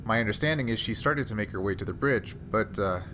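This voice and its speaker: A man's voice: lowish voice, quick pace, background noise, lightly acted. A man playing an anxious, earnest female starship first officer in a tabletop game, with a hesitant, plain delivery. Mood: uneasy, cooperative.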